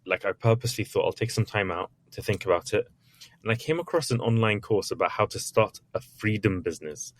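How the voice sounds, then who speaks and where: A man's voice: fairly low pitch, brisk. A man, an author and entrepreneur, answering interview questions in a candid, chatty way with frequent restarts. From Iraq, raised in England. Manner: candid, chatty, with many restarts and little acted quotes.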